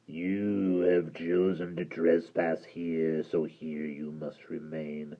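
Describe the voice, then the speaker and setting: A sinister little water creature, as a male reader puts him on, with a deep, gravelly voice dropped well below the reader's own. He drawls slowly and coldly, half in a whisper. It comes through a dull, lo-fi recording. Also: level tone, exaggerated voice. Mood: menacing. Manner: slow, low and menacing, half-whispered.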